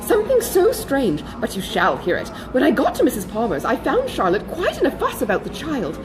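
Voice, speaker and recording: Woman voicing an animated, gossipy older society lady. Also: high-pitched, a little fast, over music, rough recording. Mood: bustling, eager. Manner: breathless, exclamatory, chatty gossip. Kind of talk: dramatised audiobook reading of a classic novel.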